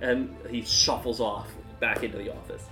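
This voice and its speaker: A man improvising narration as game master of a tabletop game, in a halting, conversational way. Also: mid-range voice, fairly even intonation, reverberant. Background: music.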